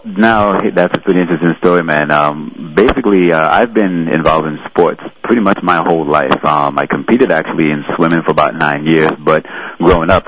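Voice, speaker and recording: Radio guest, man in his 30s from New York, very low voice, relaxed storyteller with lots of filler words, faint hiss behind. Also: dull audio. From New York City. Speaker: guest, fitness trainer. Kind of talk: radio interview.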